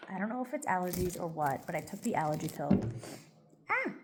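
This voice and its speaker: A woman's voice: on the low side, big pitch swings, brisk, heavy background noise. A woman vlogging in a casual get-ready-with-me chat. She rambles loosely, with plenty of "like" and "um", and sounds a little congested.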